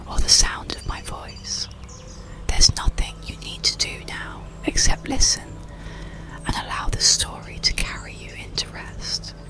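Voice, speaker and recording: A storyteller whispering a bedtime story and guided relaxation in a breathy, soothing, gentle ASMR manner. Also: very deep voice. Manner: slow, soothing, gentle delivery.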